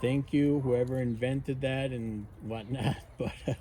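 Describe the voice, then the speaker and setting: A man in his forties from the United States, chatting casually outdoors by a fire pit in a soft, rambling way with many fillers. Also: mid-range voice, steady tone, a little slow, a little hiss or hum. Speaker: outdoor vlogger. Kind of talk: casual rambling chat to camera.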